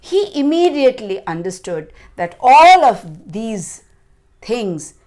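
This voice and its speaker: A woman telling a children's story in an expressive, deliberate way, with dramatic phrasing and stressed words. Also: a little high.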